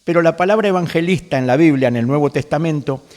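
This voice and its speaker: Argentine-accented older man, higher-pitched and echoing. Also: darkish tone. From Galicia, Spain. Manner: quotes scripture at length, fills pauses with "este". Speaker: pastor guest. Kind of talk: conversational preaching.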